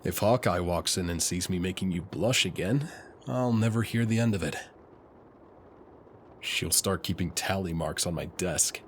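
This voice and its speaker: A man playing a suave, teasing, flirtatious military officer and alchemist in an intimate roleplay audio, speaking smoothly. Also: low-pitched, slight background noise, lightly acted. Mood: teasing, admiring. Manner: smooth, unhurried, playfully teasing.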